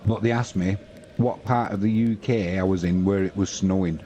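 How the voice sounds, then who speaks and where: A man in his fifties with a British accent, hosting a live football stream, chatting slowly and reading out scores in an unhurried, relaxed way. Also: deep voice, lo-fi sound, a little hiss or hum. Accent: British English. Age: 50s. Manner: slow, unhurried, chatty, reads scores aloud. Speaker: football commentator and host.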